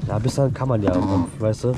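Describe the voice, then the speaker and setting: Friendly male buyer, very loud music. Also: on the low side, quick pace. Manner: friendly, polite, short reactions. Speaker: YouTube host buying at flea market. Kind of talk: casual haggling chat. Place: outdoor flea market. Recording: outdoor market recording under loud music.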